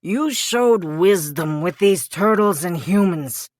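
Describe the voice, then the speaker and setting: Imperious storybook frog monarch, woman performing, slow and emphatic, stern, commanding. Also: fairly low pitch, expressive, a little mellow, no room sound, clean studio sound. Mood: solemn. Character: stern, commanding frog king, distrustful of humans. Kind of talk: children's storybook character voice.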